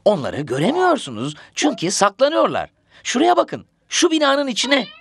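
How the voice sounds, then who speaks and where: A man playing an excitable, caring cartoon narrator who talks expressively to small children. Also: extremely high pitch, normal speed, vocal fry, a little hiss or hum, full character voice. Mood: alarmed, urgent. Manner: addresses child viewers, exclamatory, expressive. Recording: music behind the voice. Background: background music.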